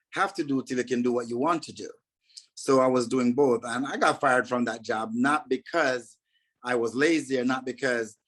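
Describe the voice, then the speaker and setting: A man from Jamaica, a guest in an interview, telling his story in an earnest, measured way with frequent fillers. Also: medium pitch, a bit bright.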